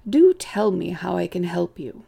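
An aged rabbit chief from a storybook, acted out by a woman, speaks with heavy creak and a soft, dark tone. He is courteous and unhurried, yet detached and quietly condescending. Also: fairly low pitch, animated, full character voice. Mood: blandly unconcerned. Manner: genteel, vague, patronizing, unhurried. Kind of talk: audiobook character voice.

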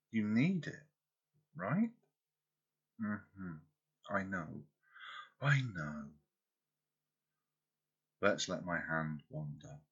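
A man performing an intimate audio roleplay, speaking softly and teasingly as if close to the listener's ear. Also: sits low, slightly rough, muffled recording.

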